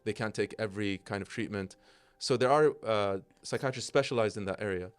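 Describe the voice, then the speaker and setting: A man's voice: lowish voice, quick pace, clean tone. A middle-aged man with an American accent, a psychiatrist explaining his career as a talk show guest in an articulate, flowing way. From United States.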